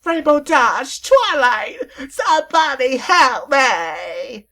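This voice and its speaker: A woman's voice: mid-range voice, very animated, slow pace, breathy edge, pitched above the performer's own voice, exaggerated voice. A woman doing a theatrical, refined pony mare. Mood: panicked. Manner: gushing, theatrical, elegant. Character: refined, dramatic young pony mare, fashion-minded.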